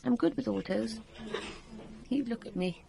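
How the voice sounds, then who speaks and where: A woman's voice: on the high side, a little slow, creaky, mellow. Proud, defiant young woman. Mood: bitter, determined. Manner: blunt, defiant. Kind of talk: radio drama acting.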